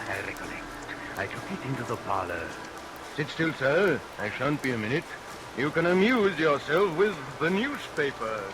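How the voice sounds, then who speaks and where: An actor playing a greedy, murderous London barber, oily and menacing, in old-time melodramatic radio style. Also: male voice, medium pitch, lightly acted. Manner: theatrical, swings from fawning to furious snapping. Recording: noisy vintage radio recording, rain over it. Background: steady rain.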